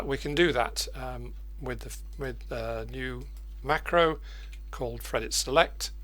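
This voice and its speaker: An older man presenting an informal screencast software tutorial, hesitant and thinking aloud as he demonstrates. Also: medium pitch. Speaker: presenter of editing macro tutorials.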